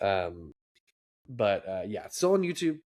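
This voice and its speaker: A man's voice: on the low side, big pitch swings, a little slow, a little mellow. A man co-hosting a casual podcast chat about movies, sounding congested and rambling with frequent restarts. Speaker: podcast co-host.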